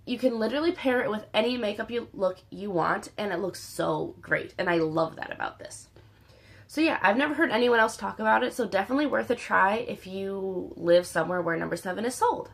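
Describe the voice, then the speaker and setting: A young woman talks through her used-up beauty products in a casual, candid way, her voice still slightly raspy from a recent illness. She chats openly, like she's talking with friends, with a middling pitch. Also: fairly quick. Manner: chatty, candid, lively. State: recovering from illness. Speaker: beauty vlogger.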